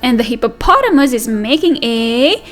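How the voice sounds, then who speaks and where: High, animated woman teaching young children. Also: normal speed, soft background music. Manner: sing-song, addresses small children with questions and repetition. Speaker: preschool teacher and read-aloud host. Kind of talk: interactive children's read-aloud lesson.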